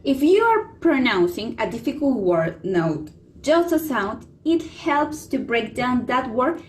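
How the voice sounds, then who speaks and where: A woman with a somewhat high voice reads pronunciation tips aloud for a class. She reads haltingly and trips over words now and then, with faint background noise. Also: big pitch swings. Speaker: student presenter. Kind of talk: reading a class presentation.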